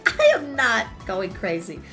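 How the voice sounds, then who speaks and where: High-pitched, animated woman riffing over game music. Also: a little slow. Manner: jokey, theatrical, slips into put-on lines. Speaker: streamer hosting a watch-along with a friend. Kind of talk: playful reaction commentary and mock dubbing of game cutscenes. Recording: music behind the voice.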